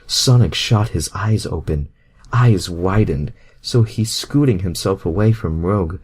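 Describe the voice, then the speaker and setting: A man's voice: deep voice, measured pace, a little mellow, breathy edge. A man narrating fan fiction casually as an amateur reader, stumbling over words and dropping sweary asides.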